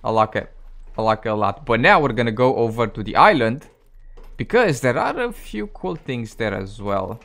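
A man's voice: mid-range voice, big pitch swings, moderate pace, creaky, fairly bright tone. A man with a tired, cracking voice giving casual, chatty commentary over his survival gameplay, joking at his own mistakes and talking to viewers. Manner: chatty, self-deprecating, talks to viewers. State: voice cracking, going hoarse. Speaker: gaming channel host.

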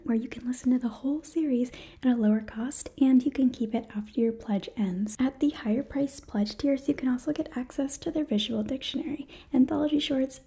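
A woman's voice: fairly high pitch, dark tone, slightly rough. A young woman reading scripted material in an ASMR whisper, close to the microphone. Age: young adult. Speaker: ASMR creator reading a sponsor message.

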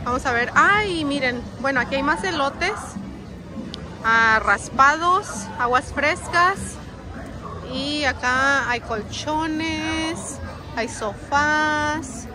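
A woman's voice: high voice, drawn-out words, rough recording. A woman, filming a casual walking tour on her phone and chatting to her viewers as friends. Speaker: vlogger. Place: large outdoor swap meet. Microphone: phone camera held while walking. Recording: outdoor market with crowd and music. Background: crowd chatter and music at the market.

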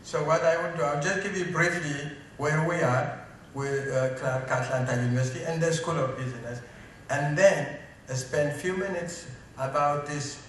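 A man's voice: on the high side, moderate pace, slight background noise. A middle-aged man with an Ethiopian accent giving a hesitant speech at a tribute event, speaking into a stand mic on stage, with frequent fillers. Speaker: interim business school dean. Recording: echoey hall, recorded from a distance.

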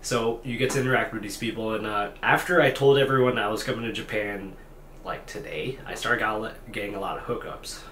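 A man's voice: lowish voice, fairly quick, a bit bright, a little hiss or hum. A tired man in his twenties talking unscripted to a camera in a small hotel room, rambling and self-deprecating with lots of fillers and restarts. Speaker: vlogger. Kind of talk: unscripted talking-head vlog. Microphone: camera at desk distance. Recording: echoey room with faint ambience.

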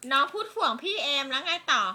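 A mother at home patiently prompting her small child through a storybook, feeding her the next words and gently correcting her. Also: sits high, lively intonation, quick pace, very noisy.

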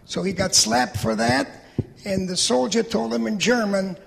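A man's voice: high voice, normal speed, gravelly. A man, telling his life story steadily into a handheld microphone before an audience in a large church hall. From Poland. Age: 80s. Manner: plain, steady storytelling with gestures. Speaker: Holocaust survivor speaker. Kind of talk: testimony talk to an audience. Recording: reverberant hall.

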